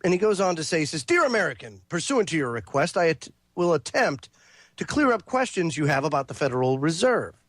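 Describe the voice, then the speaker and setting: A man hosting a talk radio show, his voice sitting a touch high and sounding congested from a cold. He talks through a long monologue, reading passages aloud and stumbling over fillers and restarts, all caught on a dry, close recording with no room echo. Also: sing-song, a little fast, a bit bright. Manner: reads quotes and lists aloud, with fillers and restarts.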